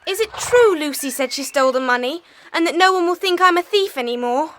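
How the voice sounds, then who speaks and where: A woman's voice: high-pitched, lively intonation, a little fast, fairly bright tone, slight background noise. A woman playing an earnest, affectionate runaway schoolgirl in an audiobook dramatization. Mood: overjoyed relief.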